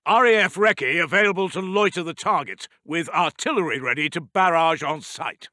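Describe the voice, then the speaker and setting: Casting: brisk British wartime intelligence officer for a strategy game; sharp, clipped, formal delivery, dry close recording. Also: male voice, fairly high pitch, dry recording. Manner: clipped, formal, urgent military reporting. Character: brisk, dutiful British Second World War intelligence officer. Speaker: video game intelligence announcer. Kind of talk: scripted military game voice lines.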